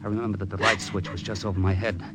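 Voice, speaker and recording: A man's voice: lowish voice, flat delivery, racing, noisy background, lightly acted. A male actor playing a tough, cynical American hard-boiled hero and narrator in a 1940s radio drama. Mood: tense narrating. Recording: old radio recording with music behind.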